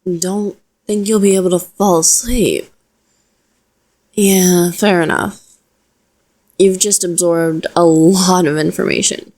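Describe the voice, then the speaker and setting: A young witch voiced by a woman speaks straight to the listener in an audio roleplay. Her voice sits a little low for a woman. She explains things softly and reassuringly, protective yet modest about herself. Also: fairly even intonation, takes it slowly, pauses often. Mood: gentle, protective.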